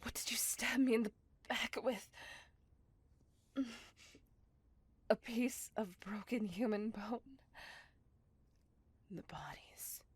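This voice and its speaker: A woman's voice: on the high side, no room sound, full character voice. A woman playing a possessive, menacing, centuries-old female vampire in a whispery, intimate ASMR roleplay. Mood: shocked, menacing. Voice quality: breathy, whispery. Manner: slow, intimate, lingering delivery with sighs. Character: possessive five-hundred-year-old female vampire, obsessively loving, menacing.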